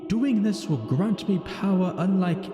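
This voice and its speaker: Man playing a slow-talking, weary ancient vampire. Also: high voice, animated. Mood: solemn, brooding. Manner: slow, solemn, formal theatrical phrasing. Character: weary thousand-year-old male vampire, lonely and brooding. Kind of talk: scripted in-character story narration over gameplay. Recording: echoing hall-like reverb, music behind. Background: music.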